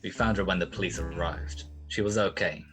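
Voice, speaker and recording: An actor playing a tough, sardonic private detective and narrator in 1940s radio style. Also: male voice, deep voice, fairly quick, music in the background, natural voice. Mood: wry narration. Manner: sardonic, wisecracking.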